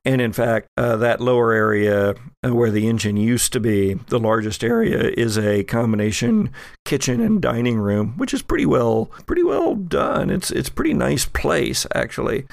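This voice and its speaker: Guy running an audio rpg, creaky voice, dry close sound, describes scenes with pauses. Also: mid-range voice, very animated, dry recording. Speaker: game master. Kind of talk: improvised scene narration for actual-play podcast.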